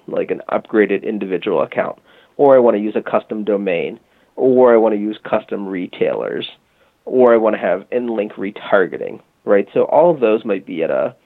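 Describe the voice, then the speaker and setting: A man with a low, gravelly voice speaks over a tinny, phone-like line. He talks casually in an interview, explaining at length and often restarting with fillers. The sound is dry. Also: no echo. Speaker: guest from a music marketing company.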